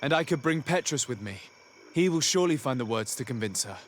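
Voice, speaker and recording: An actor playing a composed young noble diplomat, speaking calmly, formally and articulately in a video game. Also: male voice, medium pitch, brisk, a little hiss or hum, natural voice. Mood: reassuring. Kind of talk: video game drama acting.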